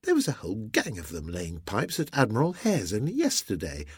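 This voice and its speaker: A man voicing a gentle, affectionate sailor's wife in an audiobook, with a soft, genteel and fond manner. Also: lowish voice, huge pitch swings, a little fast, husky, no room sound, studio quality, exaggerated voice. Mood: indignant, consoling.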